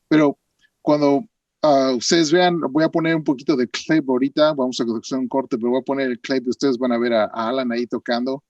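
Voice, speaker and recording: Halting Mexican male host, sits back from a dry mic. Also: mid-range voice, steady tone, darkish tone, no echo, distant mic, lo-fi sound. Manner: hesitant, many fillers and restarts. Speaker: interview host. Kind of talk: casual interview chat.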